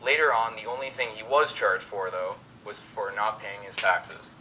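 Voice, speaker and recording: Bright, forceful young man, lowish voice. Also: normal speed, slight background noise, rough recording. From Canada. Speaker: co-host of a mock radio show. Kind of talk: scripted 1920s radio show discussion by amateurs.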